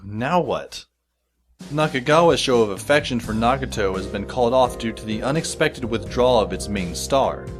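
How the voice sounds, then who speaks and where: A man playing a sardonic, world-weary high school boy who narrates an audio drama in a wry, dry manner. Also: lightly acted. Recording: music behind the voice.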